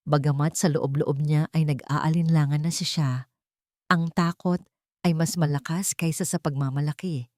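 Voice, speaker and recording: A woman with a fairly low voice narrates a scripted love drama in a very dry, close recording. She delivers it in short, measured phrases with a dramatic storytelling tone. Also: fairly even intonation, a little mellow, no room sound.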